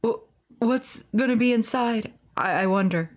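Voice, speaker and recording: A woman's voice: a little high, a little slow. A woman game streamer reading game text aloud and commenting as she plays.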